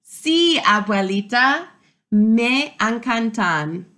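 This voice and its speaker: A woman's voice: on the high side, lively intonation, extremely slow, bright, forceful tone, exaggerated voice. A woman voicing a happy, affectionate young girl in a storybook, with singsong delivery. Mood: happy, eager.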